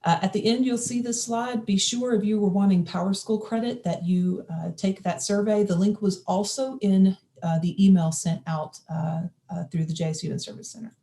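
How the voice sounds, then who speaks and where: A woman's voice: mid-range voice, steady tone, warm tone. A woman organizing an online forum, giving housekeeping announcements with many hesitations. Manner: halting, many uh fillers.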